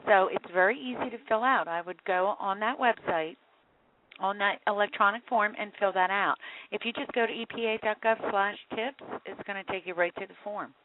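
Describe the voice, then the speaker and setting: A woman's voice: medium pitch, brisk. A woman answering a phone line, giving a caller procedural, noncommittal directions. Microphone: telephone. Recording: tinny phone line with faint noise.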